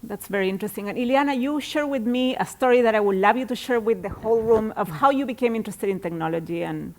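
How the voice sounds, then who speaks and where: A woman's voice: on the high side, quick pace, a little mellow. A woman moderating a conference panel, putting questions to the speakers and answering with short affirmations. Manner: questioning, brief affirming replies.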